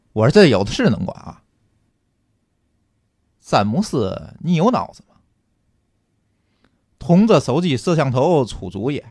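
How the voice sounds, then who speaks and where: A man's voice: medium pitch, dry recording, studio quality. A man acting a scolding police officer in a comic radio drama, sarcastic and earthy, with a Tianjin accent. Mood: furious, scolding. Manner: sarcastic rhetorical questioning, colloquial.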